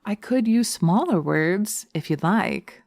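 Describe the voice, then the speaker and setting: A woman's voice: medium pitch, animated, slow pace, darkish tone, dry recording, clean studio sound. A woman voicing a scornful, domineering witch who taunts and commands in a hypnosis roleplay audio. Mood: mocking.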